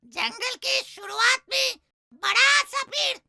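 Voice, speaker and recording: A voice actor playing a nosy, cautioning big-brother crow in a children's cartoon story, creaky and sharp, with a drawn-out delivery. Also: very high-pitched, dry recording, exaggerated voice. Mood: suspicious. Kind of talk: children's animated moral story dialogue. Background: faint children's music.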